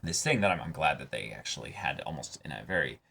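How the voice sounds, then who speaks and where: A man co-hosting a reaction show, talking casually and thinking aloud in halting phrases as he discusses a show. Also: extremely low pitch, expressive, gravelly, sharp tone, slightly rough. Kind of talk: casual discussion of an anime episode.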